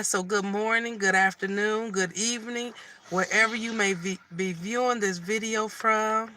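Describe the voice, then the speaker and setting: A middle-aged woman from Michigan with a slightly raspy voice, chatting casually on a live stream and often restarting her sentences. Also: mid-range voice, sharp tone. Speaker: vlogger.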